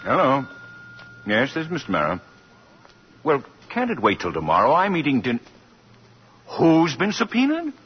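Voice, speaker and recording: A man's voice: mid-range voice, huge pitch swings, normal speed, long pauses. An American man playing an exasperated, blustering district attorney in a 1940s radio drama, speaking with emphatic indignation. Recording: muffled, noisy 1940s radio broadcast.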